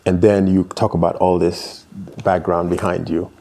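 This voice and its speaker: A low, gravelly man in his thirties hosts a sit-down interview. His tone is mellow and dark, and he asks his questions haltingly with many restarts. He nods along often with short replies. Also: flat delivery. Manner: attentive, frequent backchannel, halting questions. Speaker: interview host. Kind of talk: casual interview. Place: small studio room, seated in armchair.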